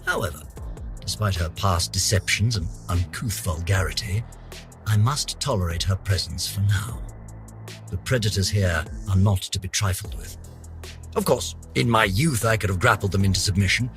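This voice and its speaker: A man's voice: deep voice, moderate pace, over music. An actor voicing a pompous, vain older British scientist, theatrically narrating his journal for a game with haughty, florid melodrama. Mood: haughty disdain. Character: pompous, vain, aging male scientist, indignant and obsessive.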